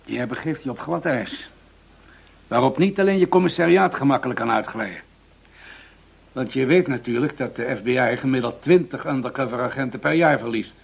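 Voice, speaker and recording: A gravelly, patronizing police commissioner. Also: male voice, medium pitch, a little fast. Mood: skeptical, dismissive. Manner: dismissive, patronizing, hesitating with "nou". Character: pragmatic, patronizing senior male police commissioner, mildly impatient. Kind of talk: radio drama acting. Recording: slightly dull, lo-fi radio recording.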